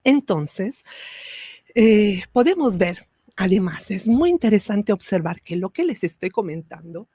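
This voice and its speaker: A woman whose first language is Italian gives a spiritual teaching talk, slow and measured, with pauses and fillers. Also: medium pitch, very animated, warm tone, breathy edge, dry recording, studio quality.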